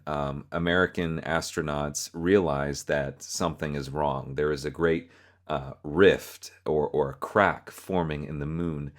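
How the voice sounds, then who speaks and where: A man hosting a video, narrating and explaining a fictional horror story. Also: very deep voice.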